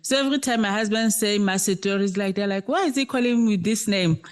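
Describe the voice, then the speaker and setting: A woman's voice: medium pitch, fast. A South African woman co-hosting a podcast, chatting casually and telling stories with light laughter. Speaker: podcast co-host. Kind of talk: casual chat.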